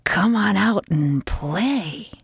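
A woman voicing a young female snake who speaks slowly and softly, at times close to a whisper. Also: medium pitch, expressive, vocal fry, slightly rough, dry recording, exaggerated voice. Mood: sly. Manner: slow, hushed, drawn out. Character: young female snake, sly and soft-spoken.

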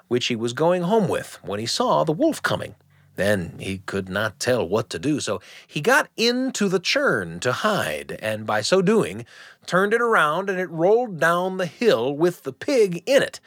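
Animated male radio storyteller, dry close sound. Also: fairly high pitch, no room sound. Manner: conversational, wry asides to the listener. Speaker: radio storytelling show host. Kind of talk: animated storytelling and scripted narration.

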